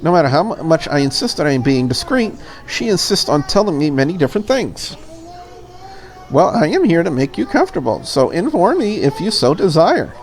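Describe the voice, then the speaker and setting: A woman voicing a polite, deferential and curious maid in a visual novel, in a courteous, measured manner. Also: low voice, big pitch swings, darkish tone, poor audio. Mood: curious, musing. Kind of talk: visual novel character read aloud.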